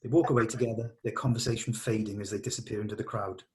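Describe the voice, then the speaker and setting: A man's voice: lowish voice, steady tone, quick pace. A man leading a script read, narrating stage directions in an informal, matter-of-fact, slightly hesitant way. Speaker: table read leader and narrator.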